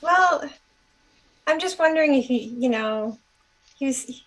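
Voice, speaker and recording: A woman's voice: high-pitched, lively intonation, unhurried, a bit bright, low-quality recording. A woman chatting casually as a player in a tabletop game, speaking in a tentative, conversational way.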